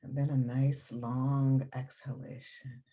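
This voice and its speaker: A woman leading a guided meditation in a calm, soothing, unhurried manner with long pauses and a soft, breathy voice. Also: rumbling low.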